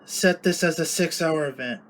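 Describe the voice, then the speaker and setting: A man's voice: a little high, moderate pace. A man hosting a hobby video, giving casual commentary as he checks radio equipment results.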